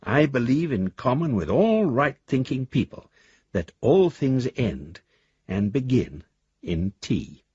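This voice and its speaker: Bashful, rambling English gentleman for radio drama; lowish, very husky voice with expressive swings. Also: slow, no room sound, muffled recording, heavily acted. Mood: rambling, affectionate. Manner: formal, bashful, gently whimsical. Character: courteous, shy, rambling English gentleman of independent means. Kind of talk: radio drama monologue, reading a letter.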